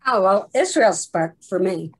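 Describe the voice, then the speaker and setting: A woman with a husky voice chatting casually as a participant in a group video call. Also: medium pitch, animated, unhurried. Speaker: audience participant. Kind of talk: casual discussion.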